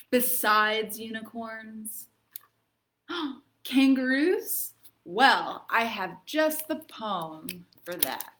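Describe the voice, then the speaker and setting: A woman hosting a children's storytime, reading poems aloud and chatting in an expressive, encouraging manner. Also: on the high side. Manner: expressive, encouraging, addressing young listeners. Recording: echoey, roomy sound.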